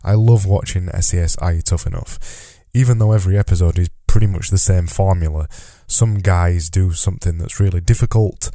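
Stuffy-nosed guy with a cold, super dark mellow voice, dry close sound, rambly podcast pep talk. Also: deep voice, a little fast, no room sound. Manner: rambling, self-deprecating, motivational. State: nasal from a cold. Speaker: podcast host. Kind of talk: solo podcast monologue.

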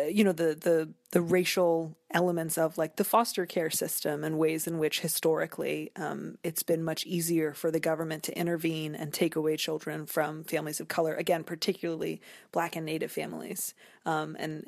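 A woman giving thoughtful, discursive commentary as an interview guest, often halting as she works out her ideas. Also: lowish voice, darkish tone, no room sound, clean studio sound. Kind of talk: conversational literary and cultural commentary.

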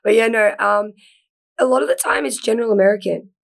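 A woman's voice: medium pitch, fast talker, creaky. A young woman from Australia, an actor talking as a guest in a casual interview, chatty and full of "like". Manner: chatty, lots of "like", laughs easily.

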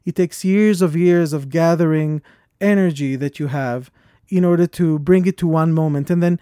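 A man with a foreign accent co-hosting a podcast, talking in an earnest and reflective way that turns animated when he tells a story. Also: on the high side, normal speed, smooth, no room sound, studio quality. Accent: foreign accent, origin unstated. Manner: earnest, thoughtful, animated when telling stories. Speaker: podcast co-host. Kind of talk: reflective conversation.

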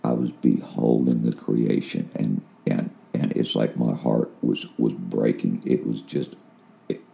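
Male preacher, deep rough voice, mellow tone; earnest, repetitive, asks "right?" often. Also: steady tone, measured pace. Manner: big voice, jumps up in pitch when stirred. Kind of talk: spiritual testimony.

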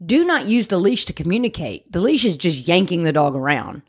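A woman's voice: on the low side, sing-song, a little fast, husky. A woman, co-hosting a podcast and explaining dog training emphatically, often asking "right?". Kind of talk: casual chat.

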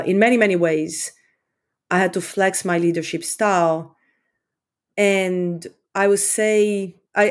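A woman in her forties, from Romania, speaking English as a second language as an interview guest, thoughtful and repeating words with many fillers. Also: lowish voice. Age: 40s. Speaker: company leader, guest. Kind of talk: interview conversation.

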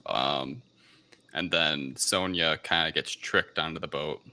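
A man co-hosting a casual film review podcast, speaking in a hesitant, halting way as he searches for words. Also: a bass voice, bright, forceful tone. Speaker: podcast co-host.

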